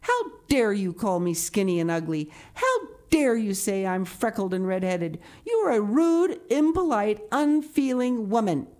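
A woman's voice: medium pitch, sing-song, unhurried, hardly any pauses, darkish tone, voice pushed up. A woman voicing a fiery, passionate and wounded young orphan girl with vehement, dramatic delivery for a storybook reading. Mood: furious.

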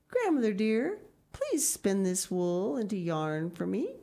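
Brief: little farm boy, a woman reader puts him on, pleading and polite, mellow tone. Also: medium pitch, huge pitch swings, takes it slowly, voice pushed up, heavily acted.

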